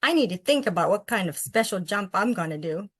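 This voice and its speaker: A woman's voice: mid-range voice, animated, a little fast, fairly bright tone, no echo, clean studio sound. A woman reading a storybook and voicing a young boy who is nervous but eager. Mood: nervous, stalling. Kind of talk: storybook read-aloud.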